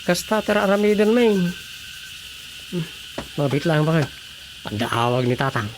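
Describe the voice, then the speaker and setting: A man's voice: a little high, lively intonation, moderate pace, a little hiss or hum. A man playing an obliging adult son in a comic radio drama, giving brief, agreeable replies.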